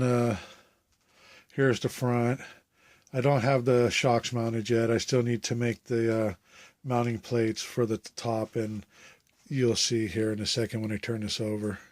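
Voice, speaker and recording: A man with a cold and a phlegmy throat, talking through a hobby build update in a halting, unscripted way with many fillers. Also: mid-range voice, darkish tone, no echo. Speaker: RC hobbyist showing his build.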